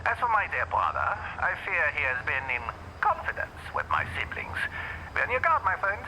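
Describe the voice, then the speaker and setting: An actor playing a playful, cryptic supernatural ally who teases in flowery, archaic phrases over a phone in an audio drama. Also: male voice, a little high, wildly swooping pitch, brisk, pushed and bright, slight background noise, heavily acted, rough recording. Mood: cryptic, teasing. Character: playful, theatrical, cryptic male demigod-kin ally on phone. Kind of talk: audio roleplay drama acting.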